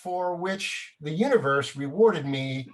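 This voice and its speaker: A middle-aged man, a playwright, telling casual anecdotes in an animated, self-deprecating way with chuckles. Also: a little high, takes it slowly.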